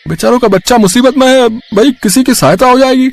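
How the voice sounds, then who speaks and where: Cartoon tale role: obliging male sweet seller, played by a man, very high voice, talkative and a bit hesitant. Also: fairly quick, gravelly. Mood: helpful. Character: helpful, chatty male sweet-shop keeper.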